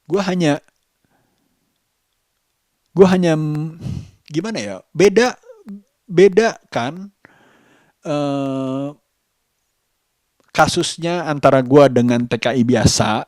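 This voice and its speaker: A man talking casually as a vlogger, halting and deliberate, repeating phrases for emphasis. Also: fairly high pitch, darkish tone, dry recording, studio quality. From Indonesia. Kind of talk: casual vlog monologue.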